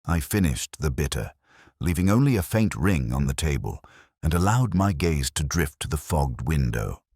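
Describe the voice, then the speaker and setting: A man voicing a reflective, melancholy detective, narrating a scripted audio drama in measured, literary, contemplative phrases. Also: extremely low pitch, big pitch swings, a little mellow, breathy edge, no echo, clean studio sound, exaggerated voice. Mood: quiet reflection.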